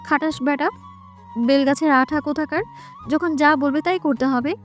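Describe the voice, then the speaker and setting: A woman's voice: sits high, steady tone, moderate pace, lightly acted. A woman playing a sweet but pouty and feisty young woman in a romantic audio story. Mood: pouty, annoyed. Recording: soft music behind the voice.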